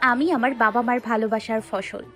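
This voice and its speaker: A woman's voice: a little high, steady tone, a little fast, a bit bright, close to an everyday voice. A woman playing a hurt, stubborn, very young wife who answers curtly and indignantly in an audio story drama. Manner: curt, indignant, defensive.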